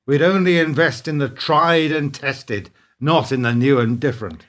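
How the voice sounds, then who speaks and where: An actor playing a weary, reproachful middle-aged business partner in a radio play, speaking in an earnest, measured way. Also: male voice, on the high side, fairly bright tone, natural voice. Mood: weary, resigned.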